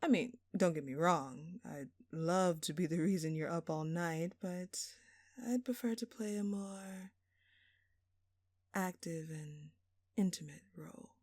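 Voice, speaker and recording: Slow, smug, flirtatious lady thief, acted by a woman. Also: lowish voice, a little mellow, no room sound, clean studio sound. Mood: teasing, flirtatious. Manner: teasing, drawling, sultry, mock-innocent. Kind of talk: audio roleplay acting addressed to the listener.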